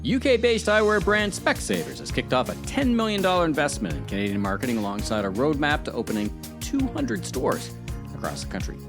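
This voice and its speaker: A male podcast host with a very lively, swooping delivery at a middle pitch. He presents and interviews in a conversational broadcast style, with some music in the recording. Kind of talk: scripted introduction and conversational interviewing.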